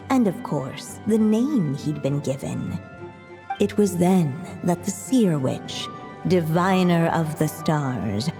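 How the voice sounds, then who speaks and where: A woman narrating a storybook tale in a video game, in measured, composed, literary phrases. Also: on the low side, long reverb tail. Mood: measured storytelling.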